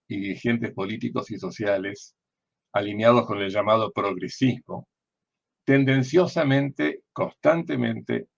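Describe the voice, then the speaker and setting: An older man with an Argentine accent giving political commentary in a deliberate, didactic way, often posing questions and answering them himself. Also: mid-range voice, creaky, breathy edge, dull audio. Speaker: former diplomat and political analyst.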